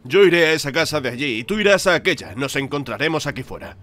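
A man's voice: fairly high pitch, lively intonation, fast, sharp tone, soft background music. A man voicing a bossy, short-tempered, foul-mouthed squad leader in a comedy cartoon fandub. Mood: irritated. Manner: brusque, impatient, commanding.

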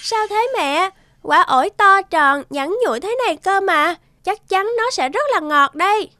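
A woman's voice: very high voice, animated, unhurried, pushed and bright, no echo, clean studio sound, full character voice. A woman voicing a cheerful, curious little girl in a children's cartoon. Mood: puzzled. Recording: music behind the voice.

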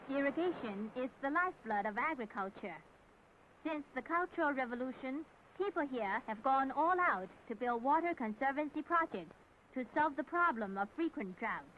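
High-pitched woman narrator, tinny old film soundtrack. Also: lively intonation, moderate pace. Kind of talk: scripted narration of a 1970s propaganda documentary. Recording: tinny, band-limited, noisy 1970s film soundtrack.

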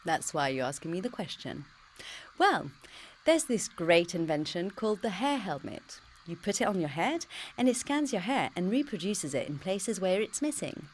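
A woman playing a smug, computer-generated future self who teases and dodges every question, in a British accent. The voice sits rather low but swings lively up and down. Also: normal speed, slight background noise. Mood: teasing. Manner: cheeky, teasing and dodging questions. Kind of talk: pre-recorded game dialogue.

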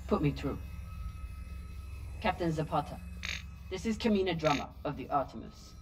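A woman's voice: low-pitched, expressive, moderate pace, darkish tone, slight background noise. An actress playing a steely, composed female spaceship captain in a game, terse and commanding. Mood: calm, menacing. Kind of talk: dramatic game voice acting.

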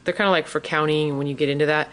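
A woman's voice: sits low, fairly even intonation, rapid-fire. A woman chatting casually and enthusiastically in an informal shopping haul vlog. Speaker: vlogger, parent.

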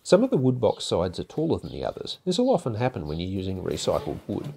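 An older man narrating a woodworking project in a calm, methodical, step-by-step way, as host of his own workshop channel. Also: low voice, fast, gravelly, slight background noise. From Australia. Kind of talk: instructional voice-over narration. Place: home garage workshop.

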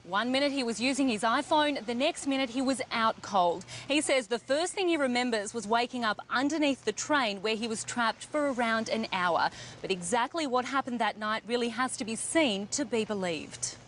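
A woman reporter giving a brisk live news report. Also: fairly high pitch, animated, a bit bright, slight background noise.

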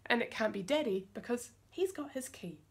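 A woman's voice: a little high, animated, moderate pace. A New woman voicing a hospitable, puzzled mother in a children's picture book. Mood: wondering.

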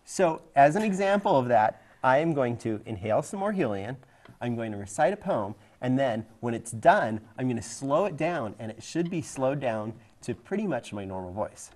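A man's voice: medium pitch, big pitch swings, normal speed, a little mellow. A man in his forties co-hosting a science show, explaining things in casual banter. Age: 40s. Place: studio set. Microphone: clip-on lapel mic.

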